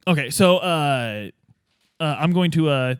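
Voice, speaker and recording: Man, high voice, very varied intonation, casual roleplay player, dry close sound. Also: expressive, a little slow, clean tone, dry recording. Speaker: tabletop game player.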